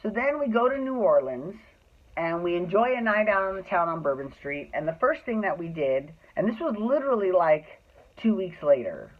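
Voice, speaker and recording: Sassy guy dishing a personal story, emphatic, high-ish for a man, phone-like audio. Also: sing-song, normal speed. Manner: sassy, emphatic, acts out dialogue. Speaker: drag performer telling stories. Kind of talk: casual confessional storytelling. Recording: thin phone-like sound.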